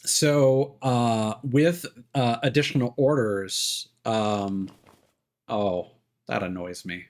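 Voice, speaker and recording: A man giving casual live game commentary, rambling and thinking aloud with hesitant pauses. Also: medium pitch, steady tone. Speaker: game streamer. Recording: game music behind the voice. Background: game music.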